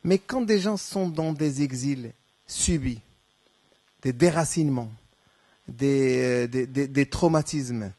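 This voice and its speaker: A man from Morocco, Darija his mother tongue, answers audience questions at a conference. He speaks in a hesitant, reflective way, full of fillers and restarts, at a middling pitch. The sound is dry and close. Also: slow pace, darkish tone, slightly rough, dry recording. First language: Moroccan Arabic (Darija). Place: lecture hall.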